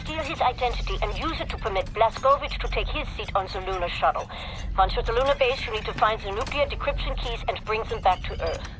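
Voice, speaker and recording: An actress playing a composed, commanding resistance leader in a video game, giving orders in a businesslike, authoritative way. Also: female voice, fairly high pitch, lively intonation, fast, lightly acted, rough recording. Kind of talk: video game cutscene acting.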